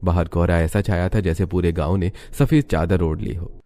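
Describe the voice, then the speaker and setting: A man's voice: deep voice, steady tone, normal speed, mellow. A man reading scripted story narration as the narrator.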